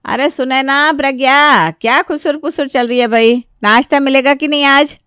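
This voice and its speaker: A woman voicing a bossy, chatty older mother-in-law who runs the household in an animated family story. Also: high voice, moderate pace, dry recording, studio quality, voice pushed up. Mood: impatient.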